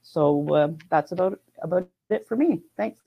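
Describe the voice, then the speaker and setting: A woman's voice: lowish voice, moderate pace, creaky, warm tone, husky. A middle-aged woman speaking informally as an instructor on an online panel. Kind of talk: informal self-introduction on a panel. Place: room at home, indoors. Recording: dull video call audio.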